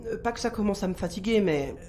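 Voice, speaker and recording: An actress playing a police investigator in an audio drama, speaking naturally and directly in conversation. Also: female voice, mid-range voice, expressive, quick pace, dark tone, a little hiss or hum, close to an everyday voice. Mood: businesslike, wry. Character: reassuring, weary female police investigator.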